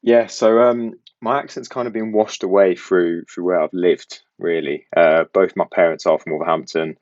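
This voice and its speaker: A man's voice: low-pitched, fairly even intonation, moderate pace, darkish tone, no room sound, highs cut off. A young man who grew up in Belgium, with an international English accent carrying faint West Midlands traces, chatting casually and self-deprecatingly as a podcast guest.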